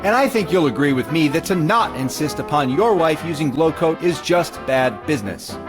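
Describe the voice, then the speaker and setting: A man's voice: a little high, lively intonation, moderate pace, music in the background, natural voice. A man playing a polished, salesmanlike American announcer in a 1940s radio style. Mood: genial, persuasive. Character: genial 1940s radio pitchman.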